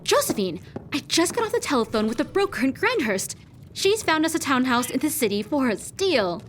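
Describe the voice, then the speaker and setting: Teasing young noblewoman, effusive, high-pitched, lively intonation, imperious, audio drama. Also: fairly quick, a little hiss or hum. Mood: breezy, teasing. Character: gushing, playful, imperious young aristocratic fiancée.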